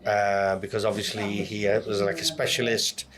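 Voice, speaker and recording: A man's voice: lowish voice, flat delivery, normal speed, a crowd in the background. A man from Leeds with a Yorkshire accent, talking casually as an interview guest. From Leeds, Yorkshire, England. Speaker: podcast guest, online content creator. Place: in a pub over a meal.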